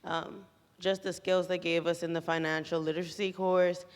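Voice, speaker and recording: A woman's voice: fairly low pitch, flat delivery, normal speed. A woman from the Bahamas giving a casual testimonial to an audience in a chatty, informal storytelling way. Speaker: programme participant giving a testimonial. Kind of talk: casual, anecdotal public testimonial. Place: large echoey room at a graduation event. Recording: echoey hall, long reverb.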